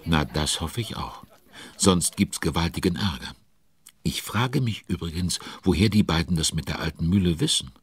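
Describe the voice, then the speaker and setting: A man narrating a children's audio drama as a chatty, involved storyteller with conspiratorial asides and a husky voice. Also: sits low, lively intonation, moderate pace. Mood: involved, storytelling.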